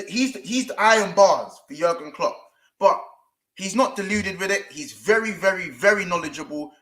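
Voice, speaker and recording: A lively man hosting a football talk show with a bright, forceful voice. He chats casually, repeats his phrases and drops slang. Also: sits high, reverberant. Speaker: host.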